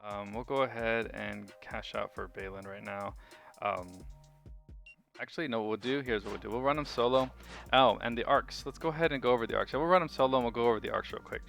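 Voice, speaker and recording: A man's voice: mid-range voice, a little fast, creaky, a bit bright. A man giving casual gameplay commentary as a video host, informal and rambling as he thinks aloud. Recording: music behind the voice. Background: music.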